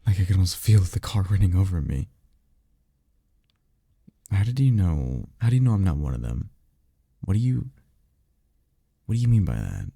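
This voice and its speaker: A man playing a guarded, secretive vampire in an ASMR roleplay, speaking in a halting, insistent, colloquial and sardonic way. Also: deep voice, sing-song, gravelly, mellow, husky, no room sound, clean studio sound, exaggerated voice. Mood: earnest, intense. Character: guarded, secretive male vampire offering magical mentorship. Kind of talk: ASMR roleplay audio drama.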